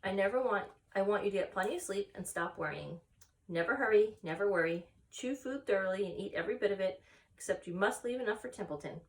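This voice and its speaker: A woman's voice: mid-range voice, animated, quick pace, far from the mic, close to an everyday voice, rough recording. Woman voicing a firm, motherly, wise spider. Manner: crisp, emphatic, instructing.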